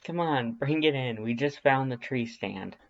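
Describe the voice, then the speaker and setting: A man's voice: mid-range voice, a little slow, muffled recording, close to an everyday voice. A man playing an earnest, friendly young human with hesitant, emotional delivery in a fan fiction audiobook. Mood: amused, bright.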